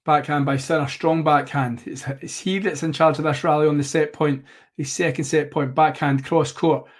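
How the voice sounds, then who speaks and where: A man hosting a live tennis watchalong, commentating play by play in a rambling, self-correcting way, slightly hoarse. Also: a little high, fairly even intonation, moderate pace.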